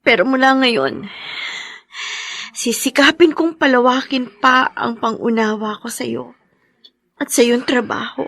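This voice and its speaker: A woman's voice: a little high, measured pace, long pauses, a bit bright, no room sound. A Filipino woman playing a loving, long-suffering soldier's wife and new mother in an emotional drama, plaintive yet tender. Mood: resigned, loving. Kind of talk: emotional audio-visual drama acting.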